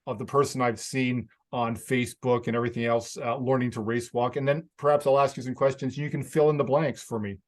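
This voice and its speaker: A man with a Canadian accent hosting a podcast interview, chatty and laughing easily. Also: medium pitch. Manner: friendly, chatty, laughs often. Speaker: podcast host. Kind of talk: casual interview chat.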